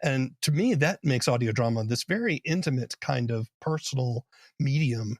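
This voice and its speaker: A man hosting a casual interview podcast, speaking in a measured, reflective way. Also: medium pitch, expressive, dry recording, clean studio sound.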